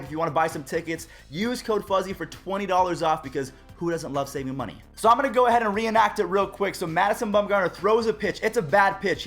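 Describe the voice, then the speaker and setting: A man hosting a baseball channel in an upbeat, chatty way, talking straight to his viewers, his voice a bit raspy and hoarse from a cold. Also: sits high, fairly bright tone. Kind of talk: casual vlog commentary.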